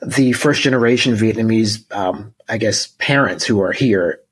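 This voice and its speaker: A man's voice: fairly low pitch, moderate pace, breathy edge, low-quality recording. A man hosting an interview, asking friendly, hesitant questions with fillers. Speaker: interviewer, host. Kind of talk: interview conversation.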